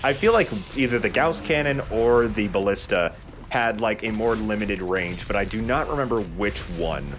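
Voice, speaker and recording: A man's voice: fairly low pitch, lively intonation, normal speed, over music. A man giving casual let's play commentary while gaming.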